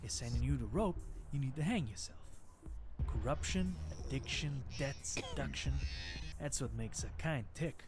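An actor playing a cynical, streetwise vampire gangster in a video game, talking in tough, confiding slang. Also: male voice, medium pitch, lively intonation, normal speed, natural voice. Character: streetwise cynical male vampire gangster, wary, foul-mouthed. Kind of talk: scripted video game dialogue. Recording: game music behind the voice.

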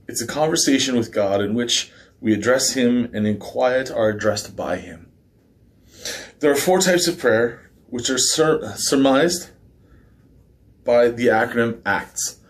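A man in his thirties, slightly hoarse, hosting a casual men's devotional from his living room, talking in a relaxed way with fillers and small asides. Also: mid-range voice. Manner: relaxed and conversational, with filler words and small laughs. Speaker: men's ministry devotional host. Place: living room by a fireplace, indoors. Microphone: fixed camera at mid distance.

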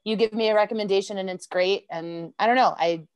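A woman's voice: mid-range voice, a little fast, smooth, no room sound. A woman with an American accent, a podcast guest chatting casually and laughing often as she tells stories. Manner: laughs often, animated storytelling. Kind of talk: casual chat.

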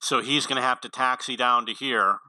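A man's voice: mid-range voice, fairly even intonation, brisk, pushed and bright, no echo, rough recording. A man giving casual, unscripted tutorial commentary on a video game in a matter-of-fact way.

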